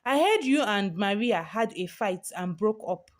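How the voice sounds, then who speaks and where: A woman's voice: mid-range voice, animated, unhurried, close to an everyday voice. A woman voicing a bullying teenage senior prefect, domineering and sly, in a story told aloud. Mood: sly, coaxing. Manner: barking, commanding, turning sickly sweet.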